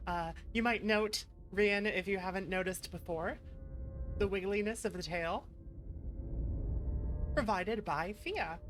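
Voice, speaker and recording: A woman's voice: mid-range voice, slow, pauses often. A woman streamer giving playful, chatty, casual commentary while playing a game. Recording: music behind the voice. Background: game music.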